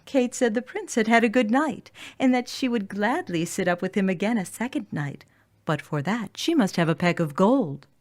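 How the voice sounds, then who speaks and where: A woman's voice: medium pitch, lively intonation, moderate pace. A woman storyteller reading a scripted fairy tale for children, with music behind her voice.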